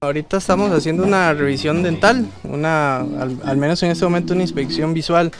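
A man's voice: a little high, brisk, loud music behind the voice. A young man, an equine vet, patiently explaining in an interview. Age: young adult. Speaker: equine veterinarian.

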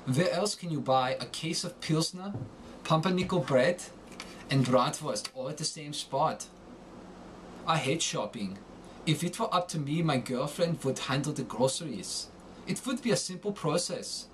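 Slow-talking man. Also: a little high, a little hiss or hum. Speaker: accent coach. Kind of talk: scripted accent lesson with example monologue.

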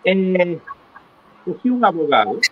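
A middle-aged man recounting his life as an interview guest, in a halting manner, repeating phrases for emphasis. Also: sits high, big pitch swings. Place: small indoor room, video call. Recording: tinny phone-like call with clear noise.

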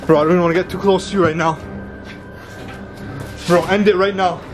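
An alarmed young man with a high voice fires off urgent, repetitive reactions and keeps pleading for calm. Music runs clearly under his voice throughout. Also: a little fast. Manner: alarmed, urgent, repeats "bro" and "chill" constantly. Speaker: friend filming the vlog. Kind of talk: casual reactive commentary.

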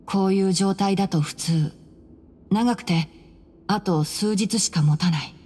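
An actor playing a calm, tactful young male scholar in a Japanese anime game, gently explaining in measured phrases. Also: high-pitched, husky. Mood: grave.

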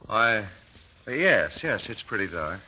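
A man's voice: on the low side, very animated, slow pace, sharp tone, slightly rough, heavily acted, low-quality recording. An actor playing an earnest, easily flustered eyewitness in an old-time radio courtroom drama, hesitant as he answers. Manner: hesitant, stammering under questioning.

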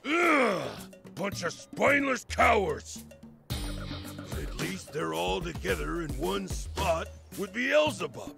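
An actor playing a brash, bossy bounty-hunter villain father with a gravelly, sneering delivery in a video game. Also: high voice, wildly swooping pitch, takes it slowly, music in the background, heavily acted. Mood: contemptuous, gloating. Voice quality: gravelly, strained. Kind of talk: English video game cutscene acting.

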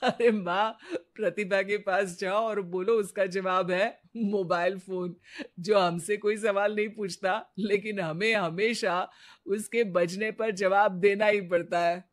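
A man voicing a teasing, self-assured young husband in an animated story. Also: sits high, slow pace. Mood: amused concern.

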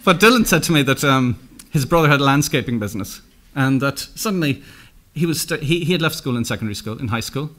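A middle-aged man with an Irish accent speaks from a lectern in a conference room. He tells stories in a loose, anecdotal way, stopping often to restart and correct himself. His voice sits in an ordinary middle pitch. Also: lively intonation, a bit bright. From Ireland. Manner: anecdotal, halting with many restarts and self-corrections. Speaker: keynote speaker. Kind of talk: conference keynote talk.

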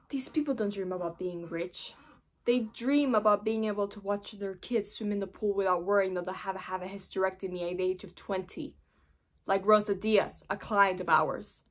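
A woman's voice: medium pitch, a bit bright, lightly acted. A woman performing a dramatic monologue as an indignant, steely lawyer, controlled and pointed. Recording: echoey room with faint hiss.

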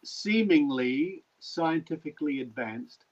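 A genial, wordy middle-aged man hosts an online talk from a home study. His voice sits a little high. The video call audio is dull and lo-fi. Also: expressive, slow. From Australia. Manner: genial and wordy, wraps up with thanks. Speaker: host.

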